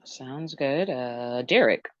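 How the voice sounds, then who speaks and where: A low-voiced woman hosts a group dating show, moving briskly from guest to guest. Her voice sounds close and dry, with slightly dull sound. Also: animated, dry recording. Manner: brisk, repeats short approvals. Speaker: host.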